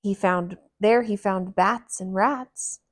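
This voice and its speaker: A woman reading a children's picture book aloud in a deliberate, expressive storyteller's manner. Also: mid-range voice, no echo, clean studio sound.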